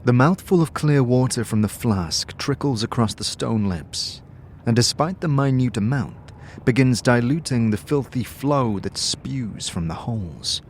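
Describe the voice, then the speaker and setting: A man reading scripted second-person fantasy narration in a measured, descriptive storytelling way. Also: medium pitch, lively intonation, a little hiss or hum. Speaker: narrator, game master.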